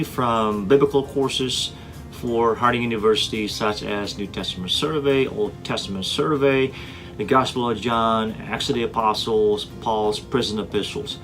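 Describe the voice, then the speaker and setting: A man's voice: mid-range voice, normal speed. A man in his forties from Indonesia, introducing himself as a teacher in an earnest, prepared talk with frequent "uh" fillers and repeated words. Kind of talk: scripted self-introduction. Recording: soft guitar music underneath.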